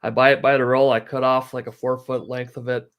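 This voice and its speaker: A man's voice: medium pitch, level tone, a little fast, clean tone, lo-fi sound. A man giving casual, practical explanations as a guest, in a matter-of-fact, step-by-step way. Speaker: guest, hobbyist sharing practical tips.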